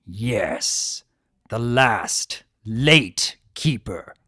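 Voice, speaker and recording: A man voicing an urbane but sullen and volatile male spirit of chaos in a fantasy story, with theatrical, drawn-out, emphatic delivery. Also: on the low side, animated, bright, forceful tone, dry recording, studio quality, exaggerated voice. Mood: bitter. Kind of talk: dramatic fan fiction reading.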